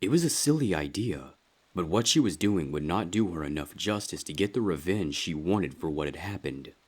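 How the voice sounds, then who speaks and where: Narrator for a dark fan fiction tale: man, very low voice, husky edge, scripted dramatic reading. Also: animated, moderate pace.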